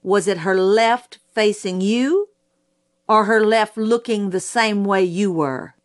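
Woman reading as a persistent, unhurried courtroom lawyer. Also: medium pitch, natural voice. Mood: probing, measured. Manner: probing, pointed, formal courtesy. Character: courteous, persistent male lawyer, a father, questioning witness. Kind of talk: audiobook character voice.

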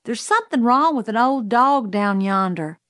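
A woman's voice: on the high side, animated, moderate pace, a bit bright, no room sound, clean studio sound. A woman voicing an earnest, insistent Southern boy in an audiobook, plain-spoken, with a Southern US accent. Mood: earnest, worried. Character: earnest Southern boy, older brother, worried and stubborn. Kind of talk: audiobook character voice.

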